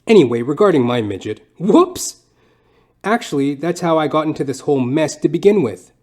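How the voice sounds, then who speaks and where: A man's voice: a little high, animated, normal speed, darkish tone. A man delivering scripted comic narration for an audio play, with theatrical, mock-literary phrasing. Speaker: comedian and storyteller. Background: music.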